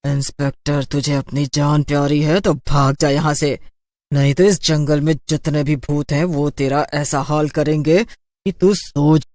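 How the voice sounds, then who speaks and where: A woman acts out a vengeful young witch ghost for a horror cartoon. She draws out her words as threats in a fairly low female register. The sound is close and dry. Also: flat delivery, a little slow, darkish tone, dry recording. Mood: threatening. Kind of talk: Hindi horror cartoon voice acting.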